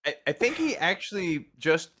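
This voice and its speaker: An American man talking casually as a podcast co-host, enthusiastic but halting, often repeating words as he works out his point. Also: high-pitched, big pitch swings, a bit bright. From United States. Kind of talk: casual chat about a TV show.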